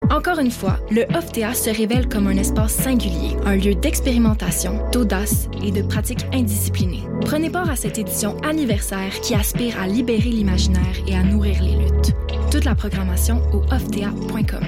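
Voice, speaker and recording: A woman with a medium voice reads a promotional ad smoothly. Loud music plays under her. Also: medium pitch, moderate pace. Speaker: ad voice-over. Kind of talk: scripted advertisement.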